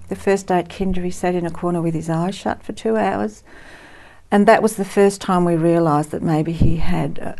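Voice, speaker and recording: A woman, a guest in a TV studio interview, talking warmly and reflectively with frequent pauses. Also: mid-range voice. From Netherlands, raised in Australia. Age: 60s. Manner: warm, reflective, frequent ums and soft laughs.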